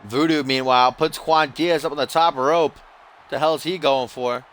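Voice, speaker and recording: Young male game commentator, forceful tone on the high side, swings from dry gripes to shouted big moments. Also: steady tone, moderate pace. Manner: swings from dry grumbling to yelling big moves; profane, teasing. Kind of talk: excitable wrestling play-by-play.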